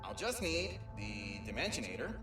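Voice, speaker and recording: Guy doing a nerdy eager young alien explorer dude, stammery and rambly, pitch all over the place, echoey game audio log. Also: very animated, normal speed, distant mic, music in the background, close to an everyday voice. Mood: bemused, chatty. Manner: stammering, rambling, self-conscious. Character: young, eager, nervy male Lombax alien inventor-explorer.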